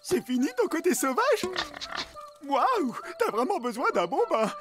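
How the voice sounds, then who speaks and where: A woman voicing a small, earnest, naive male cat in a cartoon, loyal, fretful and excitable. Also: high-pitched, measured pace. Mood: skeptical. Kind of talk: animated French cartoon dubbing. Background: music.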